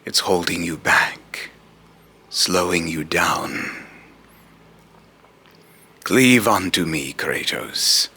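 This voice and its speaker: Grave, condescending god of vengeance, played by a man: extremely low, forceful voice, solemn and accusing delivery. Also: lively intonation, breathy edge, full character voice. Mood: menacing, condescending. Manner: slow, solemn, deliberate, taunting rhetorical questioning. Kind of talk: amateur voice-acting monologue with retakes.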